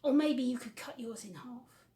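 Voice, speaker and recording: A woman's voice: fairly high pitch, normal speed, warm tone. A woman presenting to children at a church, asking simple questions in a child-friendly way. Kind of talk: gentle questioning talk to children.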